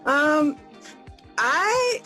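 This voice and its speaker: An American woman in her fifties hosting a casual live video chat, upbeat and repeating her questions slowly and plainly. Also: high-pitched, big pitch swings, sharp tone, faint music behind. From United States. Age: 50s.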